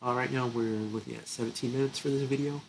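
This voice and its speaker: A man's voice: mid-range voice, steady tone, fast, slight background noise, poor audio. Stuffy-nosed young man narrating gameplay. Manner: chatty, laughs often, thinks aloud. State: stuffy nose, getting sick. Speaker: gaming channel host. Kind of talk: casual gameplay commentary.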